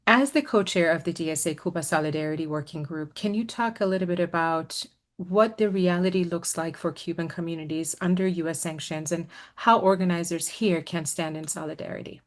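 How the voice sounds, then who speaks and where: A woman moderating a panel, closing her welcome and introducing the first speaker in a measured, earnest way. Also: lowish voice, fairly even intonation.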